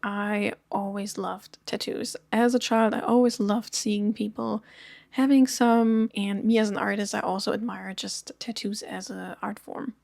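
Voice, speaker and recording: A woman in her thirties from Germany, talking earnestly to camera in a personal vlog, with frequent soft ums. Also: medium pitch, steady tone, measured pace, creaky, no echo. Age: 30s. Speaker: vlogger. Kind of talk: personal storytelling chat to camera.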